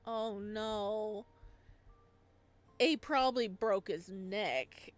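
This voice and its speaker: A woman giving informal let's play commentary, reacting excitably and thinking out loud as she goes. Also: mid-range voice, very slow, soft background music. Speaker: gaming video creator playing a visual novel. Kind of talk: let's play commentary, reading dialogue aloud.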